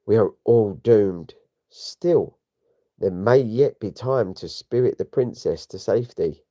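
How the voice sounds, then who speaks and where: A man's voice: fairly low pitch, animated, slow. A man voicing a desperate male defender crying out urgently in a comic-book story. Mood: panicked, despairing. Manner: loud, urgent cries.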